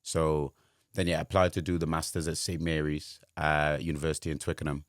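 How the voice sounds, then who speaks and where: A man's voice: extremely low pitch, level tone, a little fast, no room sound, studio quality. A man, a guest telling his career story in casual chat, rambling with lots of fillers. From Wales. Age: 20s. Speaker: guest, sports journalist.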